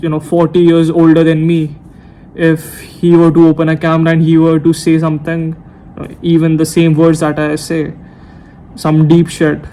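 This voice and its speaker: Brief: young man around nineteen, unscripted late-night vlog, rambling with restarts, monotone, slightly raised pitch. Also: low-quality recording. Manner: rambling, hesitant, self-correcting. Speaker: YouTuber. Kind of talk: unscripted talk to camera. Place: room at night. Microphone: camera mic.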